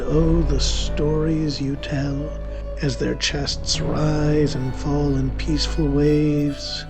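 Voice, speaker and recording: A man playing a guilt-ridden warlock who confesses to killing, in a theatrical, sardonic first-person horror monologue with deliberate pauses. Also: mid-range voice, vocal fry. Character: male warlock killer, wry, self-deluding, guilt-ridden confessor. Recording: music under the voice.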